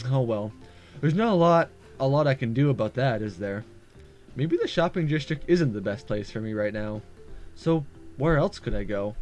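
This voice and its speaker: A man's voice: mid-range voice, a little mellow. A man reading a visual novel aloud as a gaming video host, with casual asides and the odd stumble over words. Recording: music behind the voice. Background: game background music.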